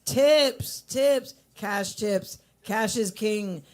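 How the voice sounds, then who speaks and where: A woman with a low voice hosting a live open mic in a coffee bar, teasing and hyping the crowd. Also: drawn-out words. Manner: playful, teasing, hyped-up announcing. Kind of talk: live emcee patter.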